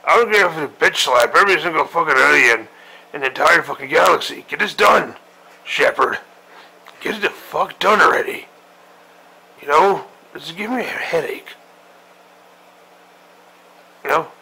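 A man voicing a crude, grumpy old male admiral who rants and swears in a gravelly voice. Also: a little high, expressive, moderate pace, pushed and bright, exaggerated voice, rough recording. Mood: irritated. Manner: profane, griping rant. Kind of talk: comedic parody monologue.

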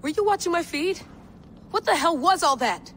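A woman's voice: very high-pitched, expressive, normal speed, a bit bright, full character voice. A determined young agent, intense and focused. Faint ambient noise sits behind her. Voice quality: intense, driven.

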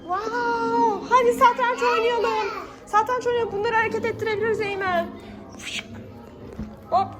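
A woman's voice: extremely high pitch, steady tone, a little slow. A woman hosting a children's play vlog as a mother, coaxing the kids and talking to viewers with exclamations and many questions. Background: music.

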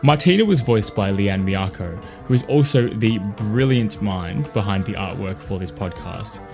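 A man's voice: on the low side, lively intonation, normal speed. A young man with an Australian accent reading a scripted podcast outro as the show's host. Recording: guitar music behind voice.

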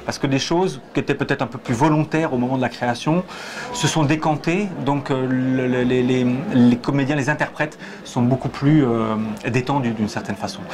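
A man in his forties explains his staging choices in a hesitant, searching way, often restarting his words. He speaks on a lapel mic in a theatre auditorium, with a crowd chattering clearly behind him. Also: mid-range voice, normal speed. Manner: hesitant, many repeated starts, gestures while talking. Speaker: stage director and theatre head. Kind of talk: thoughtful interview answers. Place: theatre auditorium, in a box seat.

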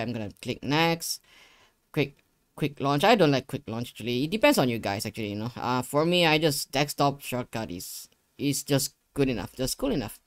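A young man giving a casual software tutorial, talking himself through each step in an informal, rambling way. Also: medium pitch, dry recording. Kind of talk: casual screen-share tutorial. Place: indoor living room gaming setup. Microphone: close desktop USB microphone.

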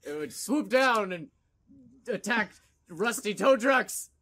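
A man voicing a brooding, melodramatic cop car that rambles and bursts into shouting, in an improvised cartoon parody dub. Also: high-pitched, lively intonation, takes it slowly, full character voice. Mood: agitated, ranting. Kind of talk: improvised comedy fandub.

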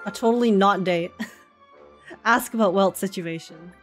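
A woman's voice: medium pitch, unhurried. A young woman giving casual, chatty, giggly reaction commentary while playing a game. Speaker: streamer. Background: game music.

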